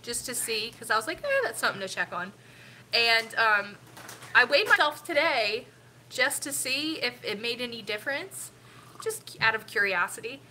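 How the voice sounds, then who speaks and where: Middle-aged lady with a stuffed-up nose just chatting away on a live stream, lots of ums, kinda bright tone. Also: a little high, expressive, normal speed, a little hiss or hum, rough recording. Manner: rambling, chatty, with frequent ums. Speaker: family vlogger hosting a live stream. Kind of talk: casual live-stream chat.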